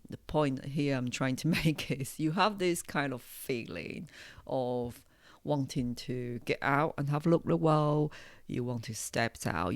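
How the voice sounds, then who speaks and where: Woman, Taiwanese accent, slow pace, slightly gravelly, reflective podcast monologue, hesitant phrasing. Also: rumbling low, no room sound. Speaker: podcast host, hypnotherapist. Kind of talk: unscripted personal podcast monologue.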